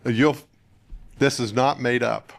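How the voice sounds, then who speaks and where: An older American man from northern Utah, a guest candidate giving plain-spoken, conversational interview answers in measured phrases. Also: medium pitch, steady tone, gravelly. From northern Utah, United States. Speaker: political candidate, podcast guest.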